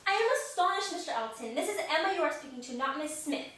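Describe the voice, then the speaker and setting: A woman with a put-on English accent playing a proper, sharp-tongued young lady who speaks crisp, formal period lines, recorded from a distance in an echoey room. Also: high-pitched, animated, quick pace. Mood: cool, proper. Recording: echoey room, mic far off, audible noise.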